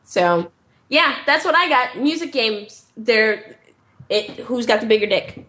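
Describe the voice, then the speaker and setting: A woman with a high voice hosts a casual podcast. She talks in a chatty, excitable way and swears freely. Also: unhurried. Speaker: podcast co-host.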